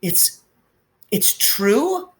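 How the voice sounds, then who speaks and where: A man's voice: high voice, big pitch swings, drawn-out words, pitched above the performer's own voice, heavily acted. A man voicing an anxious, stern older witch who is a professor in a storybook. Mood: astonished, faltering. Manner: astonished, disapproving.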